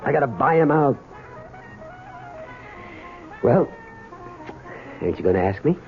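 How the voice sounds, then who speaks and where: A man's voice: medium pitch, expressive, slow, soft background music. An actor playing a bitter, brusque working man in a radio drama, talking tersely and reluctantly, stammering when pressed. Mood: irritated. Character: bitter, brusque grown son, working gas station owner. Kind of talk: old-time radio drama acting. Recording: tinny, narrow-band old radio recording.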